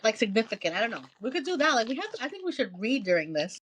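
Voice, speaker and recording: A woman's voice: on the high side, sing-song, racing, a bit bright, a little hiss or hum. A woman chatting casually as a podcast co-host, blunt and insistent in a slangy way. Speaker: book club podcast co-host. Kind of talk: casual chat.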